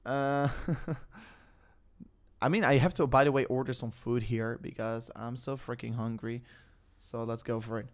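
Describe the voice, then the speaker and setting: A young Dutch-accented man chats casually through a live crypto stream. His voice sits at an everyday middle pitch, and he rambles loosely, trailing off, restarting phrases and filling gaps with "uh" and "I mean." It sounds like relaxed, unscripted talk to a live audience. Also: a little slow, pauses often. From Netherlands. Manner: rambling, self-interrupting, hesitant with fillers. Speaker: crypto livestream host.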